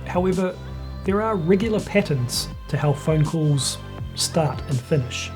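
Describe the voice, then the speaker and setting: Woman doing lesson voiceover, explains stuff step by step, measured, music playing behind. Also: deep voice, expressive. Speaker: language lesson presenter. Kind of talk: scripted instructional narration. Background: background music.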